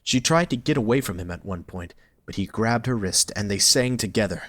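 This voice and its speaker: A man's voice: fairly low pitch, animated, fairly quick, noisy background. A man reading scripted story narration as the narrator of an audio drama.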